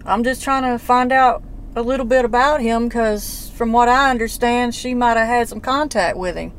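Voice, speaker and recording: A woman's voice: on the high side, normal speed, a little hiss or hum. A woman, questioning a man on a phone call in a blunt, folksy, persistent way. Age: 40s. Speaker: relative investigating a disappearance. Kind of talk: casual phone conversation.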